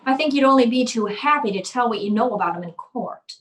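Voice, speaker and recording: A woman's voice: fairly high pitch, lively intonation, fairly quick, natural voice. A woman playing a tough, terse hardboiled private eye in an old-time radio detective play. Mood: cool, probing. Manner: terse, no-nonsense.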